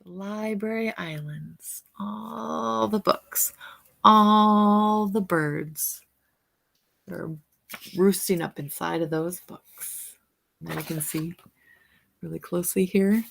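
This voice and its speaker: A woman's voice: medium pitch, slow, gravelly, a bit bright. A woman reading a picture book aloud as a teacher-storyteller, stretching out words and pointing out details to young listeners.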